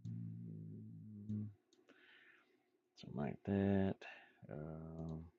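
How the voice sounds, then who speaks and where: Male, low creaky voice, muttering offhand through a casual design work-along; dry, slightly dull home recording. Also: very slow, no echo. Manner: muttering to himself in short, offhand phrases. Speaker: game designer demonstrating pixel art.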